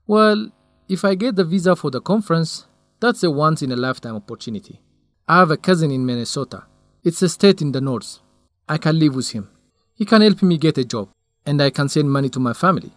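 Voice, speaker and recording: A man's voice: fairly high pitch, expressive, a little fast, no echo, soft background music, close to an everyday voice. An actor playing a young male researcher in a radio drama, lovesick and stubborn, speaking in an earnest, defensive way.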